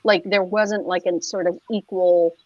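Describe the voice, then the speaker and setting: A woman's voice: fairly low pitch, steady tone, a little slow, no echo. Chatty woman, muffled dry audio. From United States. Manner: chatty, full of "like" fillers and restarts. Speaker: guest, clinical social worker. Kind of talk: casual conversational interview.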